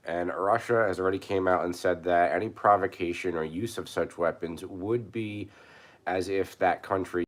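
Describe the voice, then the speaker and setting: Hoarse low-voiced man rambling. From New York. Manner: rambling, halting, many fillers and restarts. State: hoarse from a cold. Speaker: prepper channel host. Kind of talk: casual live commentary.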